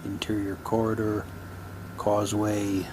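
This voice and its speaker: A man giving halting, low-key, wry commentary as he casually unboxes items and reads captions aloud. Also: monotone, noisy background. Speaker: unboxing video host.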